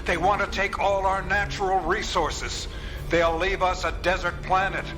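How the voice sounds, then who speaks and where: Actor as high-voiced, urgent, hawkish general. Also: male voice, level tone, moderate pace, over music, natural voice. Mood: alarmed. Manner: urgent, grave warnings. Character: alarmist, hawkish military general. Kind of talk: radio drama acting.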